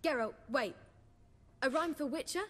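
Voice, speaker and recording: An actress playing a spirited, theatrical young bard and playwright in a video game, brisk, articulate and expressive. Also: female voice, sits high, long reverb tail, a little hiss or hum. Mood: impatient, absorbed. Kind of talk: video game dialogue acting.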